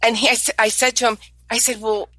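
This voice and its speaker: A middle-aged woman with a head cold, co-hosting a casual podcast chat and praising her guest warmly. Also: on the high side, little pitch movement, quick pace, no room sound, clean studio sound. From United States. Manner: effusive, heaps praise on guests. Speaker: podcast co-host, interviewer.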